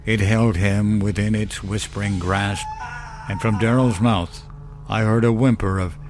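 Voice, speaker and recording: Man, deep-set pitch, slow deliberate delivery, scripted scary storytelling, music bed. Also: steady tone. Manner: measured, solemn, deliberate storytelling. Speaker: narrator of a horror fiction podcast. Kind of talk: scripted horror story narration.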